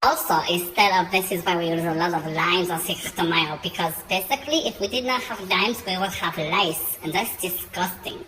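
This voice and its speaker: A woman's voice: lowish voice, moderate pace, few pauses, fairly bright tone, a little hiss or hum, low-quality recording. A woman narrating an improvised comic fairy tale in a chatty, playful way. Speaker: storyteller.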